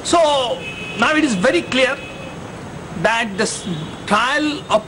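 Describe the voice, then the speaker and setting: A man with an Indian accent giving emphatic, argumentative legal commentary for the news. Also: high-pitched. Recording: noisy, with traffic-like background.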